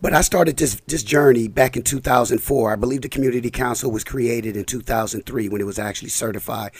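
A man's voice: mid-range voice, fast, slightly rough, no echo. A man, a community council president, talking in a casual interview, a bit scratchy from a cold and rambling with restarts. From Los Angeles.

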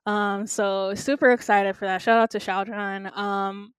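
A young woman co-hosting a podcast, chatting casually and giving upbeat shout-outs. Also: medium pitch, level tone, a little slow, smooth, no echo. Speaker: podcast co-host. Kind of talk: casual chat.

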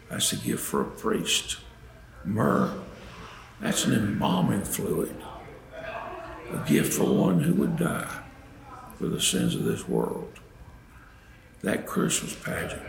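An older man tells devotional holiday stories to an audience in a folksy manner, with dramatic pauses and emphasis. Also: low-pitched, creaky. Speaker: storyteller and preacher. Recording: roomy echo, faint background noise.

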